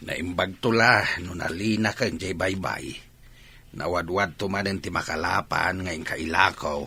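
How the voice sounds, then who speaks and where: A man's voice: sits low, measured pace, pushed and bright, slightly rough, full character voice. A man with an Ilocano accent playing a patient village fisherman father in a radio drama. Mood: resigned, gentle.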